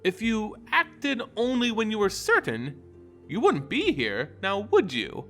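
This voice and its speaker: A man acts out a ghostly witch in a fantasy storybook reading. She is knowing and needling, with slow, lilting lines in a bright voice. Soft music sits behind. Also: high-pitched, wildly swooping pitch, pitched above the performer's own voice, full character voice. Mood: cryptic, teasing. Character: spectral female witch spirit, cryptic and needling. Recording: voice over background music.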